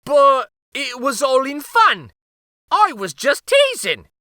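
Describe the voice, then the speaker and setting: High-pitched, teasing old uncle. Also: male voice, slow pace, no echo, studio quality, exaggerated voice. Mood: indignant protest. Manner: folksy, excitable, repeats himself. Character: teasing old uncle, a bearded jokester doctor. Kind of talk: children's storybook character voice.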